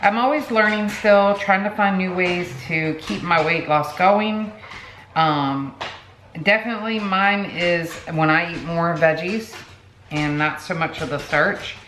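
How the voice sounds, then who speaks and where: A woman's voice: on the low side, a little slow, a bit bright. A woman in her late forties, chatting casually to camera as a vlogger, rambling with many fillers and asides. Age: 40s. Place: home room. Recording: echoey room with background noise.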